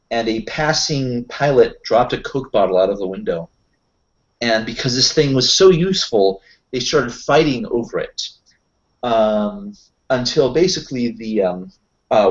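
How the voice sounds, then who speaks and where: A man chatting casually as a tabletop roleplaying player, pausing mid-thought as he goes. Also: medium pitch. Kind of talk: casual chat. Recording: dull lo-fi voice recording.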